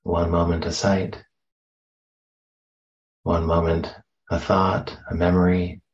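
Man, low pitch, very slow pace, gentle meditation teacher, long pauses, slightly muffled sound. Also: completely flat delivery. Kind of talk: guided meditation. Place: meditation center.

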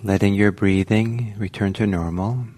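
A man leading a guided meditation as a teacher, speaking gently in short phrases with long pauses. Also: lowish voice, steady tone. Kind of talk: guided meditation, slow spoken instructions. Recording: faint background noise.

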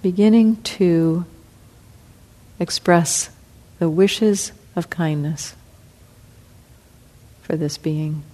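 A woman teacher leading a guided meditation, speaking softly and calmly with long pauses. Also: fairly low pitch. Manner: slow, soft, calm, with long pauses.

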